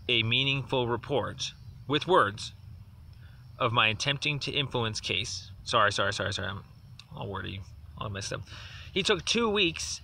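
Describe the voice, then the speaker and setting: A man's voice: medium pitch, vocal fry, sharp tone. A man leaving a long voicemail complaint, insistent and aggrieved, repeating himself as he builds his case. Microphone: phone. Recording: distant voice with faint noise on a phone voicemail.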